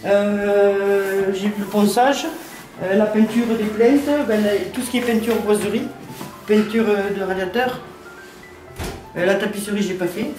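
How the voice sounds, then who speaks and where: A woman from the south of France, a resident, chatting hesitantly about renovating her flat. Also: medium pitch, unhurried. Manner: hesitant, many fillers. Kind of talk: casual chat on a work site. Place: flat under renovation, indoors. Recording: echoey room from far off, music over.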